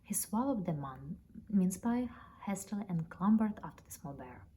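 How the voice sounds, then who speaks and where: A woman reading a children's story aloud in an expressive storytelling style. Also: medium pitch, moderate pace. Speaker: storybook reader. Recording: noticeable background noise, ambience.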